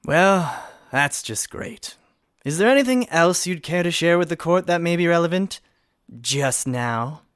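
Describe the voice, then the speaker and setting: An actor playing an exasperated, sarcastic hotshot prosecutor in a courtroom comedy, with a sardonic, theatrical way of talking. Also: male voice, on the high side, animated, a little slow, a bit bright, smooth, no room sound, clean studio sound. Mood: dry exasperation. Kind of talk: scripted comedy courtroom acting.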